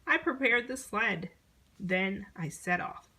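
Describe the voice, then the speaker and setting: A woman reading a children's picture book aloud in an expressive storytelling manner. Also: medium pitch, slow, slight background noise. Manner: expressive storytelling, acting out short exclamations.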